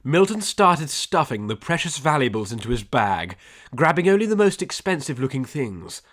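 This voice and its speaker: A man's voice: medium pitch, sing-song, normal speed, a bit bright. Man narrating an adventure audiobook. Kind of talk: scripted dramatic story narration.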